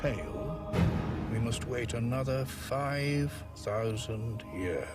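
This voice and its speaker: An actor playing an urgent, severe secret-society leader in dramatic film trailer audio. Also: male voice, medium pitch, animated, very slow. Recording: film trailer, very loud music.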